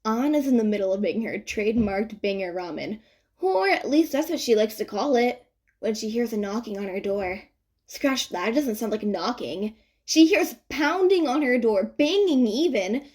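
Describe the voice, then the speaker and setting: A woman narrating a written story in an expressive, informal and wry way. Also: on the high side, normal speed, slightly rough. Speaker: fan fiction narrator.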